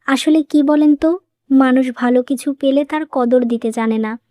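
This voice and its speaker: A woman playing a composed, persuasive young daughter-in-law in a cartoon moral story, explaining things in a measured way. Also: high-pitched, dark tone, no room sound, clean studio sound, natural voice. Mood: composed, reproachful.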